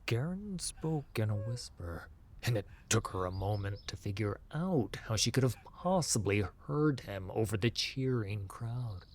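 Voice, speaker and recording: Slow, dramatic male fantasy narrator. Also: lowish voice, expressive. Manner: intense, dramatic, with hushed drops. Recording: music under the voice. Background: music.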